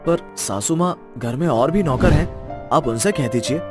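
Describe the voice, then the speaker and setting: A man's voice: fairly high pitch, expressive, normal speed, music in the background, natural voice. A man playing a meek young son-in-law, earnest, deferential and pleading with his elders in a story. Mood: apologetic, pleading.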